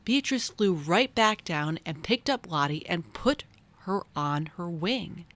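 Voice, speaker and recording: A woman's voice: fairly low pitch, animated, slow pace, fairly bright tone. A woman narrating a storybook for young children as a storyteller.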